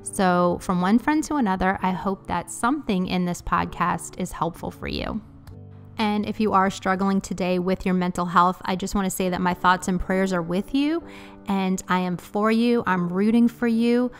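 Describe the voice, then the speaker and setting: A woman's voice: mid-range voice, normal speed, faint music behind. A woman in her thirties giving an earnest, encouraging voice-over for her cleaning vlog. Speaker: cleaning vlogger.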